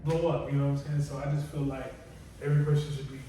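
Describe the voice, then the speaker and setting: A man's voice: mid-range voice, flat delivery, fast talker, dark tone. A man on a podcast who talks bluntly and profanely, leaning on "you know what I'm saying" between thoughts. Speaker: podcast co-host. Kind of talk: casual podcast chat. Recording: echoey room, distant mic, faint noise.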